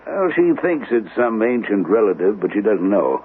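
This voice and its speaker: A man's voice: on the low side, big pitch swings, moderate pace. An actor playing a genial, practical grandfather in a vintage radio drama, speaking in a casual, avuncular way. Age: older. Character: genial, practical grandfather, suburban weekend host. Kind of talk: 1970s radio drama acting. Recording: dull lo-fi radio, faint noise.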